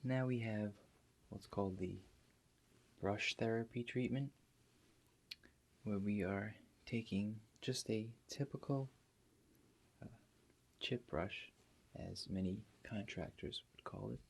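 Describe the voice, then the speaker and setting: A soft-spoken, husky man doing an ASMR roleplay as a calm, reassuring doctor, explaining gently and without hurry, with pauses. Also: on the low side. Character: calm, reassuring doctor guiding a therapy session. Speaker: ASMR performer playing a doctor.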